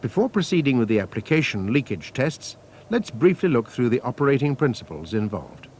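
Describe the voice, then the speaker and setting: Man narrating a technical training film, lively intonation. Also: mid-range voice. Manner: measured, methodical, formal. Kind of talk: scripted technical instructional narration. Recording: faint noise, archival training film soundtrack.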